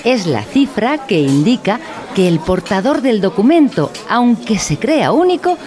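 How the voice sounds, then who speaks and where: A woman's voice: medium pitch, big pitch swings, unhurried, a little mellow. A woman playing a pedantic instructor in a mock-educational radio play, speaking formally and didactically. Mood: solemn, didactic. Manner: formal, didactic, pompous wording. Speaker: narrator in an audio drama.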